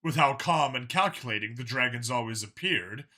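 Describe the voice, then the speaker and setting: A man's voice: medium pitch, lively intonation, normal speed, bright, forceful tone. A man reading scripted story narration as an audiobook narrator.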